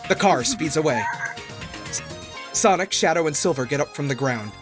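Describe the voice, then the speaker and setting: A man's voice: on the high side, animated, normal speed. A man reading dramatic narration aloud for a comedy fandub, prone to cracking up. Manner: prone to cracking up mid-line. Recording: music behind the voice.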